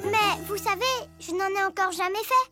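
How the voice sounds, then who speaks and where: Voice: very high-pitched, normal speed. An actor voicing a young child in a French children's superhero cartoon, with music behind the voice. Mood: wistful.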